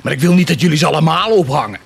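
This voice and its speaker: An actor playing a cruel, blasphemous warlord in a radio drama, mocking and grandiose, declaiming sardonically with dramatic pauses. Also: male voice, on the high side, expressive, vocal fry, breathy edge, full character voice. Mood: defiant, grandiose. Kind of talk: theatrical radio drama acting.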